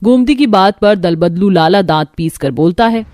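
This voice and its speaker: A woman storyteller narrates a scripted cartoon tale at a middling pitch. Her delivery is dramatic, in the manner of a narrator setting out each scene. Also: animated, normal speed, darkish tone, no echo.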